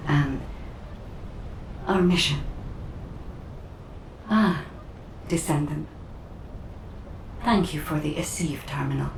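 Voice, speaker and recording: An actress playing a serene, gently instructive AI guide in a video game, soft, measured and formal. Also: female voice. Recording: echoey, distant, faint rumble behind.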